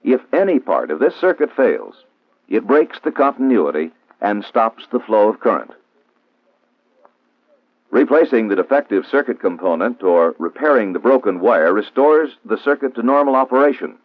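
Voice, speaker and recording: An American man reads a scripted instructional narration in a formal, measured, didactic way, in the style of a 1960s training film. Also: fairly low pitch, sing-song, no echo. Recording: old 1960s film soundtrack, narrow phone-like band, dry.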